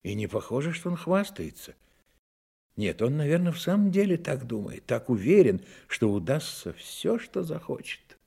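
A man's voice: on the high side, big pitch swings, normal speed, gravelly, darkish tone, husky. A man narrating a radio drama in a dramatic, scripted storytelling style.

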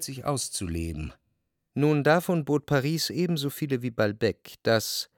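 An actor playing a jealous, brooding man recalling an obsessive love, in reflective, measured literary radio drama narration. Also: medium pitch, dry recording, studio quality. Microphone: close dry studio microphone.

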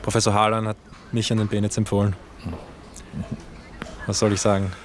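A man's voice: on the low side, monotone, fairly quick, slight background noise, close to an everyday voice. An actor playing a young, chatty male camera assistant from Vienna, speaking with a Viennese accent and dry irony. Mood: sardonic. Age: young adult. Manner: dry, ironic remarks. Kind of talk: radio drama acting.

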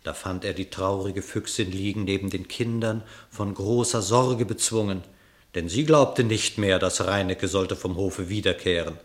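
Lowish-voiced man narrating literary verse. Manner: measured, expressive, storytelling. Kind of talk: dramatic literary verse narration for radio play.

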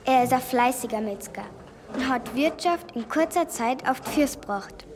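A woman's voice: high-pitched, animated, moderate pace, noisy background. A woman playing an earnest, hard-working young girl in a radio play. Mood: earnest, matter-of-fact. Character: hard-working Bavarian village girl, earnest and dutiful child.

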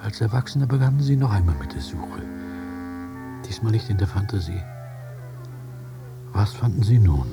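Low-voiced, dry questioner. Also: male voice, expressive, normal speed, pauses often. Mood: probing, dry. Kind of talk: literary radio drama dialogue. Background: music.

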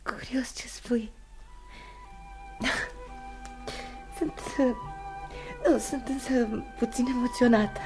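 A woman's voice: fairly high pitch, fairly even intonation, a little mellow, soft background music, lightly acted. An actress playing a knowing, alluring yet vulnerable woman confidante in a radio play, intimate and teasing. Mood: moved. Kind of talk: radio theatre acting.

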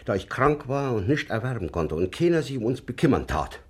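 A man's voice: mid-range voice, sing-song, brisk, slightly rough. An actor playing a stern, pious old father in a radio play, exasperated and reproachful. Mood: bitter reproach. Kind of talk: naturalistic radio play acting.

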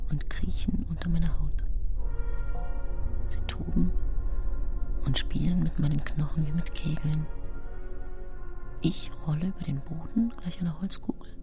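An actress playing a guilt-haunted murderous widow in a radio drama, confessing in a breathy near-whisper. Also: female voice, sits low. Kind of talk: intimate radio drama monologue.

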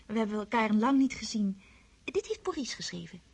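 Breathy, eager young Natasha. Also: female voice, fairly high pitch, lively intonation, fairly quick. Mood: chatty. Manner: girlish, eager, often whispering.

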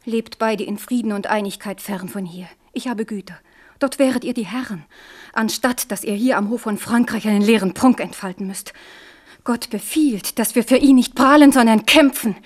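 A woman's voice: a little high, normal speed, natural voice. An actress playing a stern, devout protestant queen mother in a 1970s radio play, speaking solemnly and rising to fervent appeals.

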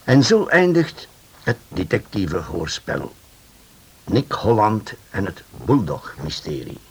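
Husky, unhurried male radio announcer. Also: on the low side, huge pitch swings, a little mellow. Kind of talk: 1950s radio closing credits narration.

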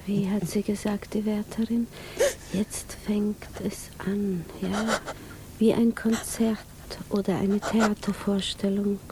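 Actress as a hushed, brooding woman, slow, subdued. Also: mid-range voice, flat delivery, natural voice. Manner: hushed, introspective, deliberate. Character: anxious, brooding provincial woman, inwardly troubled. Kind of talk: 1950s German radio drama acting. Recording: noisy 1950s radio recording.

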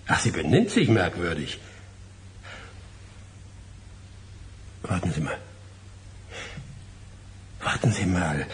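An actor in a German radio play voicing a nervous, evasive lawyer and distracted husband, hesitant and often repeating himself. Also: male voice, low voice, slight background noise. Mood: stunned, murmuring.

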